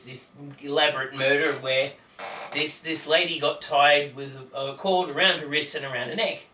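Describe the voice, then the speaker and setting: A man's voice: a little high, sharp tone, a little hiss or hum. A man co-hosting a casual comedic chat, rambling and self-deprecating and tripping over his words. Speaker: co-host. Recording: echoey room, heard from a distance.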